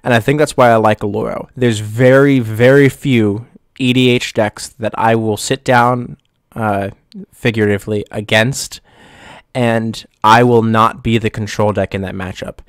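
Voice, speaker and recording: Low-voiced man getting over the flu. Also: clean tone, no echo, studio quality. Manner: relaxed, thinking aloud with frequent pauses and restarts. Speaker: YouTube channel host. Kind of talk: casual gameplay commentary over screen recording.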